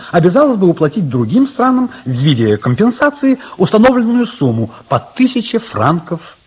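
A man playing a courtly writer who narrates his own tale in a radio play, measured, literary and gently ironic. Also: on the high side, slightly rough.

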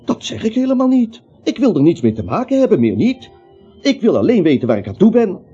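A man's voice: high-pitched, huge pitch swings, brisk, warm tone, breathy edge, heavily acted. An actor playing a nervous, naive little everyman who rambles, hesitates and justifies himself in a comic radio play. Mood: puzzled, defensive. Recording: muffled old radio play, music behind.

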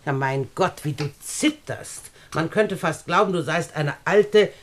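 An actress playing a wealthy, condescending older aunt in a German radio play, speaking standard German in a deliberate, haughty manner. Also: female voice, deep voice, slight background noise. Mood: condescending, bossy. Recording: studio radio drama recording.

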